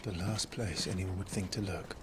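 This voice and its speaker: A man's voice: deep voice, fairly even intonation, normal speed, background noise, close to an everyday voice. A man playing a wary young soldier. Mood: guarded. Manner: guarded and tense. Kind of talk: video game cutscene acting. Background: loud orchestral game score.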